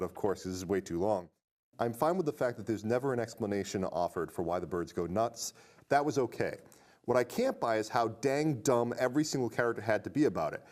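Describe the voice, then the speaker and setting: A man hosting a casual movie review podcast, talking conversationally and reading aloud with animated emphasis. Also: mid-range voice. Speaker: podcast host.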